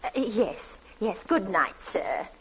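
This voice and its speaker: A woman's voice: medium pitch, lively intonation, takes it slowly, a bit bright, slight background noise. An actress playing a nervous, deferential middle-aged landlady in a 1940s radio drama, flustered, stammering and eager to please. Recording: dull 1940s radio recording.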